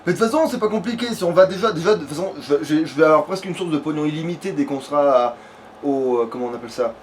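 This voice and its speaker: A man's voice: fairly high pitch, expressive, rapid-fire. A man giving casual, slangy, sweary let's play commentary while chatting with a partner. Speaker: let's player. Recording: clearly noisy home recording.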